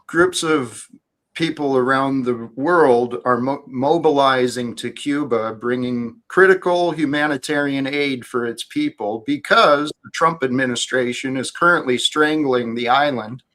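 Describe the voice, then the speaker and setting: A man hosting a relaxed group chat in an even, measured way. His voice sits at a middle pitch, and he keeps his tone steady when he pushes back on the others. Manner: calm, corrects others in a measured way. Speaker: host.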